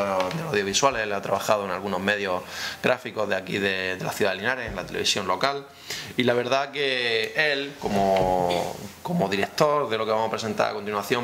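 Low-pitched man speaking publicly, noisy room. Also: brisk, creaky, a bit bright. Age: 30s. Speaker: town councillor for youth, presenting at a press conference. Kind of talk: semi-formal press conference speech. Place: indoor press room, seated at a table. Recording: noticeable room background noise.

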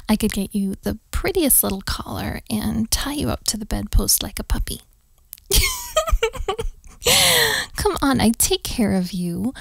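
A woman playing a needy, affectionate young girlfriend in an intimate ASMR roleplay, teasing and breathy, often slipping toward a whisper. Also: medium pitch, dry recording. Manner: slow, teasing, affectionate, giggly. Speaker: audio roleplay performer. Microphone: close microphone, dry sound.

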